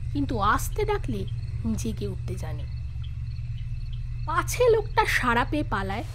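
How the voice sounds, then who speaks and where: High, animated woman storyteller. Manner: expressive, suspenseful. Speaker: narrator. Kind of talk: dramatic scripted horror story narration.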